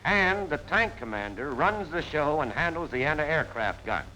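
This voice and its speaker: A man's voice: a little high, lively intonation, normal speed, sharp tone. An American man gives plain-spoken, punchy instruction in the style of a 1940s military training film narration. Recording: noisy 1940s film soundtrack.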